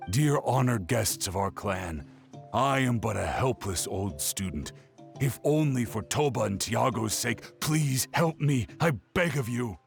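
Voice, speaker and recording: Gravelly, dramatic old scholar. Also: male voice, mid-range voice, animated, a little slow, faint music behind. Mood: pleading. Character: old scholar man, pleading and woeful, devoted to his research. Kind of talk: video game character acting.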